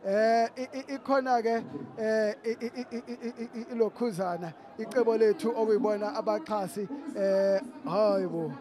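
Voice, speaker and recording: A man's voice: high voice, fairly even intonation, normal speed, crowd noise. A man commentating on a match in Zulu, stammering and repeating syllables as he goes.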